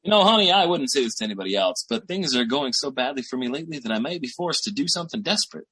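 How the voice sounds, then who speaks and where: Man playing raspy, animated small-time hustler, radio comedy. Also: mid-range voice, very fast. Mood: self-pitying. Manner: slangy, bragging, theatrical hustler patter. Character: fast-talking, boastful small-time schemer boyfriend, eternally scheming big deals. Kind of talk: 1940s radio sitcom acting.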